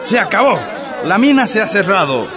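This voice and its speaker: An actor playing a harsh, bossy mine official shouting orders in a radio drama. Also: male voice, high-pitched, sing-song, moderate pace. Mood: harsh, urgent. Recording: loud crowd and outdoor noise.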